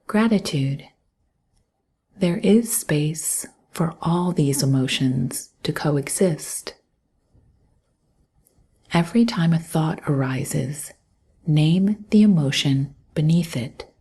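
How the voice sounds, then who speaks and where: A woman guiding a mindfulness meditation in a soft, breathy voice, gently and calmly, with long pauses between instructions. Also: low-pitched. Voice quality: soft, breathy, often near a whisper. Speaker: meditation guide. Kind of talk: guided mindfulness meditation, scripted narration.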